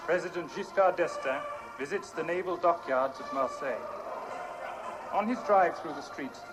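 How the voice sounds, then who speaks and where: A male actor plays a formal cinema newsreel announcer. His voice is fairly high and brisk, a man narrating over loud music. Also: lightly acted, rough recording. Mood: formal, upbeat.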